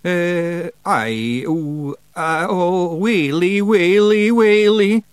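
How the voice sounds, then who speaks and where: High, whimpering Scottish fairy simpleton. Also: male voice, big pitch swings, normal speed, dry recording. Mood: terrified. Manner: moaning, pleading. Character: tiny dim, cowardly, wailing male fairy. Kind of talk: audiobook character voice.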